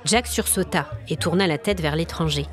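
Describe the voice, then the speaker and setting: A woman's voice: low-pitched, normal speed, music in the background. A woman storyteller narrating a scripted audio story in a dramatic, atmospheric manner. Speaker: storyteller, podcast host.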